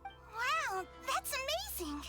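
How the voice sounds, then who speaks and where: A woman's voice: very high-pitched, huge pitch swings, slow, over loud music, exaggerated voice. A woman voicing an innocent, curious and bubbly little child in a video game. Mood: earnest, musing.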